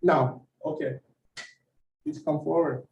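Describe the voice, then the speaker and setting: A man chairing a public planning meeting, reading the procedural rules in a measured, formal way. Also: fairly high pitch, fairly even intonation, vocal fry, a little mellow, recorded from a distance. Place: meeting hall. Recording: dull, roomy audio from off-mic.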